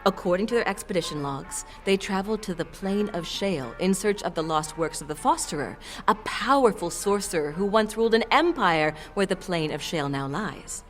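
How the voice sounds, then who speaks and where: Low-voiced, coy fiend shopkeeper played by an actress. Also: female voice, lively intonation, a little fast, hardly any pauses, soft background music, natural voice. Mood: chatty, storytelling. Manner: coy, theatrical saleswoman with chuckles and purring flourishes. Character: playful, sultry half-demon fiend woman shopkeeper. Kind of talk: audio drama acting.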